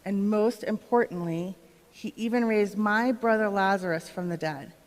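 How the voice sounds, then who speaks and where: Woman playing an excited Biblical witness, echoing hall. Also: medium pitch, moderate pace, close to an everyday voice. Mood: awed, eager. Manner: storytelling, addressing listeners directly. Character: excited woman of Bethany, Lazarus's sister, witnessing Jesus. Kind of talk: dramatic monologue in a church performance. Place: church, live performance. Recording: reverberant hall.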